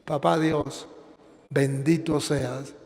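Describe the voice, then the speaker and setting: Venezuelan priest preaching in a reverberant hall. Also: male voice, fairly high pitch, little pitch movement, a little mellow. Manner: preaching with slow, emphatic stresses, then plain appeal. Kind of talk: sermon and prayer.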